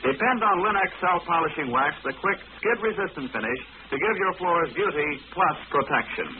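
Old time radio ad guy, polished pitchman, slightly high voice, tinny sound. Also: lively intonation, normal speed. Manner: polished, emphatic sales delivery. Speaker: commercial announcer. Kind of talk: scripted 1940s radio advertisement. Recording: narrow-band, faintly noisy old radio recording.

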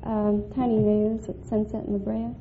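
An American woman from California answers in brief, casual phrases as a radio contestant. Her voice is mid-pitched. The vintage recording is narrow and tinny, with a faint layer of noise. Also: completely flat delivery, normal speed. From Oakland, California. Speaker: quiz contestant.

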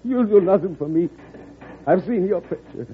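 Man playing a high-voiced wounded boy soldier. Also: animated, moderate pace, narrow, tinny audio. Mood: pained. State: in pain. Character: wounded, defiant young enemy soldier, a frightened boy. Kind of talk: old radio drama acting. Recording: thin, hissy old radio recording.

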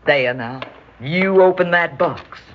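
A woman's voice: deep voice, takes it slowly. An actress in an old-time radio drama playing a genteel, lonely shopkeeper who dotes on her cousin, fluttery and pleading in manner. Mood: eager, doting. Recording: dull noisy old radio recording.

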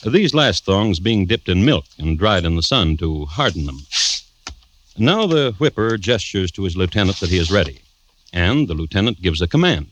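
A man narrating a vintage radio crime drama as host, deliberate and drily droll. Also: low voice, very animated. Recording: noisy old radio recording.

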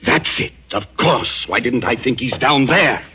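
An actor playing a menacing, secretive murderous doctor in a 1940s radio suspense drama, with a husky voice and brooding, clipped delivery. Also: male voice, mid-range voice, lively intonation, unhurried, pushed and bright, a little hiss or hum. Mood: cold menace. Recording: dull old 1940s radio recording.

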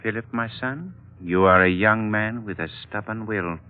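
An actor playing a wise, fatherly older Chinese gentleman with formal, measured, flowery speech in a 1940s radio drama. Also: lowish voice, lightly acted. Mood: solemn, fatherly. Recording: narrow old radio recording with music.

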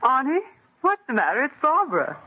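A woman's voice: high voice, very animated, fairly quick. An actress playing a worried, insistent young woman pressing her sweetheart, with emotional old radio melodrama delivery. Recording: muffled, noisy vintage radio recording.

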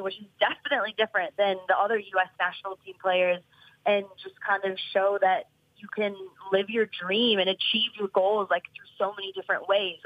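A woman's voice: mid-range voice, no room sound, muffled recording. A woman in her thirties talking earnestly as a podcast guest, rambling with many restarts. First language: English. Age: 30s. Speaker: professional soccer player, guest. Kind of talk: casual podcast interview.

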